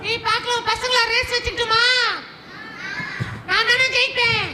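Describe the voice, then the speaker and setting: Squeaky, whiny little puppet boy. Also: level tone, normal speed. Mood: boastful, eager. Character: small whiny child puppet, boastful, easily hurt. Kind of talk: live children's puppet show dialogue. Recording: echoey hall, noisy background.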